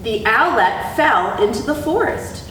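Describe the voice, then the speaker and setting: A woman working as an educator, giving a talk and storytime reading for children with gentle, deliberate delivery. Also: sing-song. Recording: very echoey room, distant mic, faint rumble.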